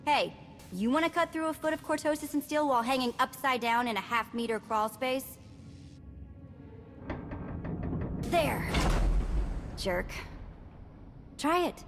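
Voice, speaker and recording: A woman's voice: high voice, moderate pace, long pauses, big-room sound, music in the background. A woman playing a feisty, flippant young female outlaw in a video game, sarcastic and brash. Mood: irritated. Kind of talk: video game cutscene acting.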